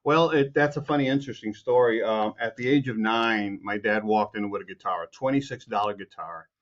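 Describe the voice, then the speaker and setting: A man's voice: fairly low pitch, brisk, dull audio. A man from Chicago, a composer guest, answering interview questions in a rambling way with many restarts.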